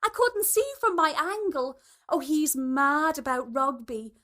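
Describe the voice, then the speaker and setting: A woman's voice: sits high, unhurried, bright, forceful tone, pitched above the performer's own voice. A woman voicing a snobbish, socially ambitious mother with a gushing, affected manner in a story reading. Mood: gushing, flustered. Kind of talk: storybook dialogue.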